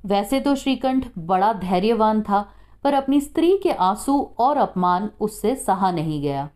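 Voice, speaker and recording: A woman narrating a scripted short story in a measured, expressive storytelling manner. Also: mid-range voice.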